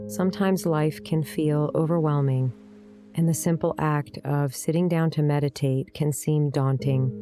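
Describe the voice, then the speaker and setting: A woman leading a guided meditation in a gentle, soothing, unhurried way, with deliberate pauses. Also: sits low. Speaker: meditation guide, yoga teacher. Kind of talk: guided meditation, scripted narration. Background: soft instrumental music.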